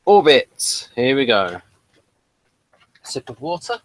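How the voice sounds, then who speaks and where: A man hosting a review discussion, reading a summary aloud and chatting, sometimes haltingly. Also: mid-range voice, sing-song, noisy background. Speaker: podcast review host.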